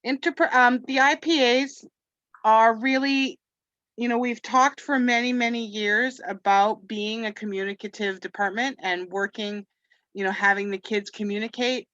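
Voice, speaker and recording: A chatty woman from Canada, French her first language, hosting a teachers' webinar. She rambles warmly through asides, leaning on "you know" again and again. Her voice sits at a middling pitch and comes through a dull, dry video-call line. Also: no echo. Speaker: webinar host. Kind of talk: casual webinar talk to teachers.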